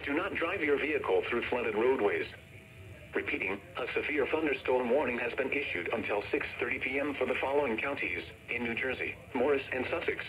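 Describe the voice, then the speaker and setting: A synthetic male voice reading a scripted severe weather warning bulletin as an automated announcer, formal and measured, played through a radio speaker. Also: mid-range voice, a bit bright. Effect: synthetic text-to-speech voice. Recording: noisy weather radio speaker recorded in room.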